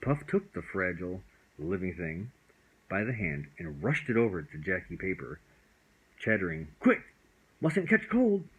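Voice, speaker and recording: A man's voice: low-pitched, expressive, normal speed, fairly bright tone, breathy edge, low-quality recording. A man reading a bedtime story aloud as storyteller, expressively and with the odd stumble over words.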